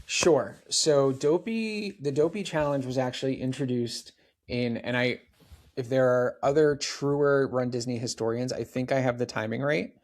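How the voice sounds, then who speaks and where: A man's voice: medium pitch, moderate pace. A man in his forties, a podcast guest, chatting casually and dropping lots of "like" and "right?" asides. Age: 40s. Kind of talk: casual interview chat.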